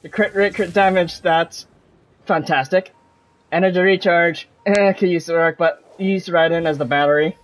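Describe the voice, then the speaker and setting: A man's voice: high voice, fairly even intonation, normal speed. A man giving casual gameplay commentary as a streamer, rambling and trailing off mid-thought. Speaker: game streamer. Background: game music behind the voice.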